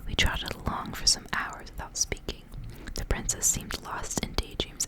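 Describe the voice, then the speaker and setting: A woman reading aloud in a soft, breathy, unhurried whisper, in the style of an ASMR storyteller. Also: rough recording.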